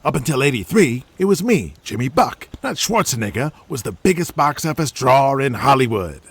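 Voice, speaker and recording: High-pitched, brash has-been movie star. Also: male voice, big pitch swings, normal speed, slightly rough, dry recording. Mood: defensive, pleading. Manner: brash, foul-mouthed, pleading wise guy. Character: washed-up, cocky, excitable little male movie star. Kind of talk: comic audio drama acting.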